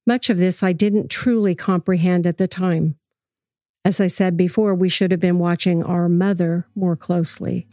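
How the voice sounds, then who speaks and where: A woman reading a scripted first-person story as narrator, in a measured, reflective way. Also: on the low side, fairly even intonation, warm tone, dry recording, clean studio sound.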